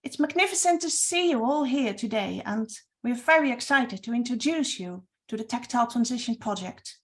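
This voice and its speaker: A woman with a Norwegian accent, Norwegian being her first language, presenting a webinar as project coordinator in earnest, slightly halting English. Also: on the high side, expressive.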